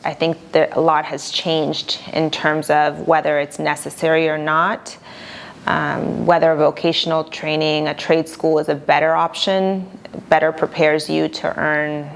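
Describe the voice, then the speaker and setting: A young woman attorney from Argentina answering interview questions in a calm, measured, plain-spoken way. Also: deep voice, gravelly, a little hiss or hum.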